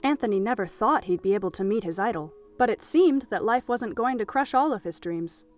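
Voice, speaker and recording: A woman's voice: medium pitch, animated, fairly quick, soft background music. A woman narrating a scripted story as the narrator of an audio drama.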